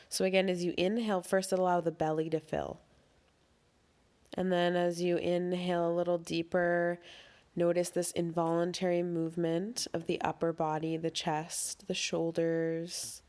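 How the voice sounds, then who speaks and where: A woman leading a guided breathing meditation as an instructor, speaking in a gentle, soothing, instructional way. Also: on the low side, flat delivery, moderate pace.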